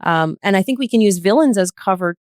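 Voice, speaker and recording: A woman's voice: mid-range voice, animated, brisk, darkish tone, no echo, clean studio sound. A woman in a reflective podcast conversation, explaining her views in a thoughtful, earnest way. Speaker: podcast guest.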